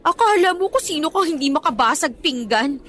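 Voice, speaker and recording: A woman's voice: high-pitched, animated, brisk, a bit bright. An actress playing a spoiled, insolent teenage schoolgirl with a Filipino accent in a Tagalog radio drama, taunting and slipping in English. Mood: taunting, scheming. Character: spoiled, insolent teenage schoolgirl who blackmails her teacher.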